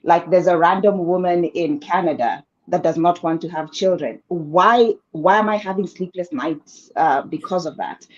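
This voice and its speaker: A woman's voice: on the low side, moderate pace, few pauses. Easygoing woman on a muffled call. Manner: relaxed, self-assured, hesitant fillers. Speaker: panelist. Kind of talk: casual panel discussion. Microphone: video call. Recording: dull lo-fi call audio.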